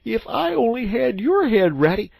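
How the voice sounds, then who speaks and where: A small storybook mole who gushes and teases, his voice swooping up and down with mock admiration, as a reader plays him. The sound is husky and recorded close and dry. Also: mid-range voice, moderate pace, no echo. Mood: teasing. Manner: gushing, playfully sarcastic flattery. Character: admiring, teasing little male mole.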